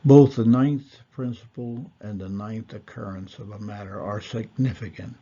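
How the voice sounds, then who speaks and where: Man, husky low voice, very slow, deliberate lecturing, dull lo-fi recording. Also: steady tone, dark tone. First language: not Hebrew. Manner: measured, deliberate, with fillers and pauses. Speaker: Torah teacher.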